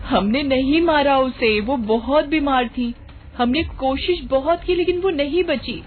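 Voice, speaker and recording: A woman's voice: high voice, measured pace. A woman voicing a frightened, defensive hospital nurse in a horror story, on a tinny recording. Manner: alarmed, protesting. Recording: tinny band-limited sound with music.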